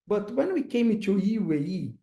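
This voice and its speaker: A man with a Brazilian accent, Portuguese as his first language, giving a webinar talk as an investor, halting and pausing often with fillers. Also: high voice, very animated, vocal fry, dark tone, roomy sound, muffled recording. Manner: halting, searching for words, frequent uh fillers. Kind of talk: webinar presentation.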